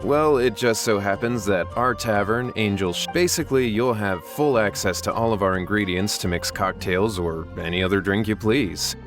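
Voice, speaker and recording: An actor playing a polite, welcoming tavern manager making a persuasive offer. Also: male voice, lowish voice, normal speed, hardly any pauses, over music, natural voice. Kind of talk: game cutscene voice acting.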